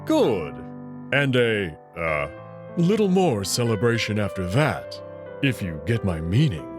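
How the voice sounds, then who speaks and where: A man's voice: fairly low pitch, big pitch swings, takes it slowly. A man doing Amicus, a confident wolf heir. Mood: flirty. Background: background music.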